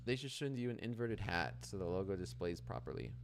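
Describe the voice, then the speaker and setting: A man's voice: low-pitched, expressive, fairly quick, a little hiss or hum. A Canadian man hosting a live trading stream, chatting casually with viewers and reading their comments aloud, with frequent restarts. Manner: reads chat aloud and answers, with frequent restarts. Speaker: livestream trading host.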